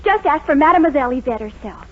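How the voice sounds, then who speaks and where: Very high, coy young American sweetheart. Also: female voice, animated, quick pace. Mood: coy hinting. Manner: coy, hinting, gushy. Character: coy young sweetheart, turning 21, wheedling and exasperated. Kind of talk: 1940s radio comedy acting. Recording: muffled 1940s radio recording with audience.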